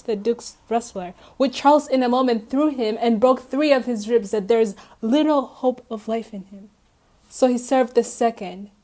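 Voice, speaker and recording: A woman's voice: a little high, mellow, natural voice. A woman playing a chatty, deferential courtier in a Shakespearean radio play, with formal storytelling delivery. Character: courtly gentleman messenger Monsieur Le Beau, chatty and deferential.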